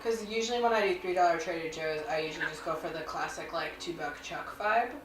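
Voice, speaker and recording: A woman's voice: on the low side, fast, distant mic, music in the background. A woman in her twenties co-hosting a casual chat while gaming, chatty, swearing freely, often restarting her sentences. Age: 20s. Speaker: co-host. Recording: echoey room, noisy, off mic.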